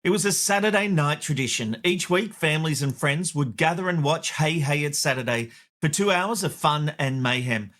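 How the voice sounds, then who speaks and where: A man's voice: fairly high pitch, animated, moderate pace, a bit bright. A man with an Australian accent hosting a media commentary show, wry and opinionated. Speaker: host.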